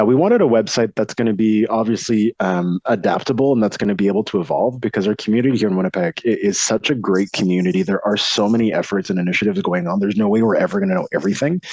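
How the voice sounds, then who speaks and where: A man's voice: on the low side, a little mellow, dry recording, muffled recording. A man who is a psychology student volunteer, talking enthusiastically and at length in an interview. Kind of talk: interview answers. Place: Winnipeg.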